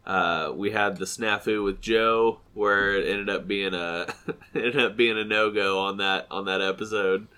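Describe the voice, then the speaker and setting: A man's voice: lowish voice, fairly even intonation, a little slow, bright, forceful tone, clean tone. A man in his thirties with a stuffy, nasal voice, co-hosting a casual chat podcast in a rambling, self-correcting way. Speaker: podcast co-host.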